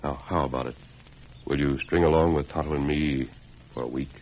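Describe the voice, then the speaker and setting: An actor playing a calm, authoritative masked hero in an old radio western, husky and measured in his delivery. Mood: calm, earnest. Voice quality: very deep, husky. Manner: measured, earnest, commanding. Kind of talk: 1940s-50s radio western acting. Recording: dull lo-fi old radio recording.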